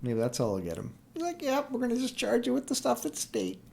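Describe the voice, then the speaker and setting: A woman's voice: on the high side, wildly swooping pitch, fast, creaky, mellow, a little hiss or hum. A woman, chatting casually with her partner as a podcast co-host. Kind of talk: casual chat between a couple. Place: at home in self-isolation.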